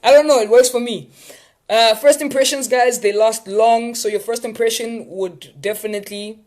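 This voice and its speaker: A man's voice: very high voice, steady tone, moderate pace, a little mellow, slight background noise. Man, South African accent, live session host, casual, chatty, rambling, playful. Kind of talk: casual live chat with viewers.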